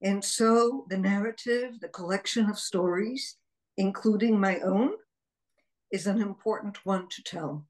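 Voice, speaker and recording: A woman in her sixties who grew up in Israel, speaking slowly and deliberately as an interview guest. She pauses often and reaches for her words, unhurried and reflective as she tells her story. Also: medium pitch, a little mellow, rough recording. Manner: slow, measured, with frequent pauses and fillers. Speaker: guest psychologist.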